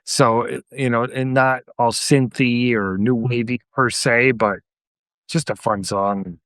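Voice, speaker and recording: A man's voice: mid-range voice, steady tone, takes it slowly, a bit bright, no echo. Casual man chatting, dry close sound. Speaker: podcast co-host. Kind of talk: casual music chat.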